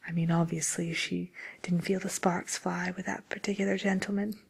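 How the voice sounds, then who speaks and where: A woman playing a genteel, businesslike matchmaker for villains in a whispered ASMR role play, murmuring politely and hesitantly in a breathy voice. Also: fairly low pitch, little pitch movement, moderate pace, exaggerated voice. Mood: gently amused.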